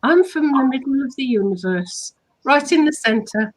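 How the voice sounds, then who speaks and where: Chatty English woman, high voice. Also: lively intonation, moderate pace, rough recording. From England. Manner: chatty, playful, storytelling. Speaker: author and hypnotherapist co-host. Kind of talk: casual online chat.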